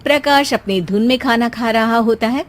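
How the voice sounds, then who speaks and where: A woman's voice: fairly high pitch, normal speed. A woman storyteller reading a scripted domestic story as narrator.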